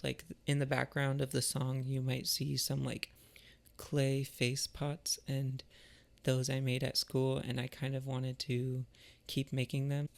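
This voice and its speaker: A man talking in a casual, reflective monologue, thoughtful and halting, with a creaky voice. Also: mid-range voice. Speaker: artist and musician vlogger.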